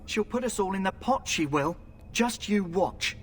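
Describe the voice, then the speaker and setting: Nervous recruit, high-pitched. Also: male voice, lively intonation, measured pace, fairly bright tone, soft background music. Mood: fearful. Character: nervous, grumbling male recruit. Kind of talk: fantasy video game acting.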